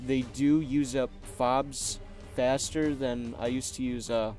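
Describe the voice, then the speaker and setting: A man talks at an unhurried, drawn-out pace, wandering between thoughts and correcting himself as he goes. His voice sits at an ordinary middle pitch, recorded at a home desk mic with quiet music under it. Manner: relaxed, rambling, many fillers and self-corrections. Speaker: gaming YouTuber. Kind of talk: casual game deck walkthrough.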